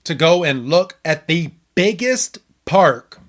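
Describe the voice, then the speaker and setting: A man's voice: on the high side, very animated, takes it slowly, fairly bright tone. A man hosting a podcast, chatting casually through a recap and often stammering and repeating his words as he goes. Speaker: podcast host. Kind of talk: casual chat, episode recap.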